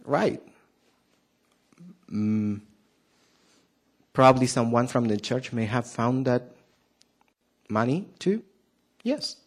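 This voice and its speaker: A man with a thick Argentine Spanish accent delivers a Sabbath sermon at an unhurried pace. He halts often, restarts his sentences and fills gaps with "um". He keeps turning to the congregation with questions. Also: mid-range voice, lively intonation. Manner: hesitant, many fillers and restarts, asks the audience questions. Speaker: preacher.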